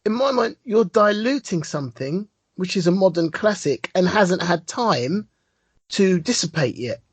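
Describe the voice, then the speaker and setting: A man's voice: high-pitched, animated, measured pace, breathy edge, dry recording. A man co-hosting a podcast, telling a long story with a lot of enthusiasm and rambling asides. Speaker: podcast co-host. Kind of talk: casual chat.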